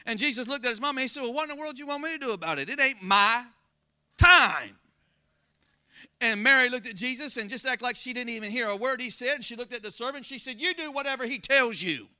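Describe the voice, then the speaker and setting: A pastor with a Southern Florida accent preaching a sermon, folksy and calling out to the congregation for responses. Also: male voice, very high-pitched, bright, forceful tone, clear, resonant tone, no echo, studio quality. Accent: Floridian Southern American. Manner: call-and-response, folksy, rising to emphatic exclamations.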